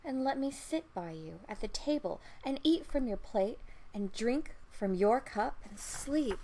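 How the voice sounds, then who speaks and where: Woman voicing a slow, pleading storybook frog. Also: medium pitch, mellow, natural voice, poor audio. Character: pleading male talking frog, earnest and insistent. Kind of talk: fairy-tale storybook reading.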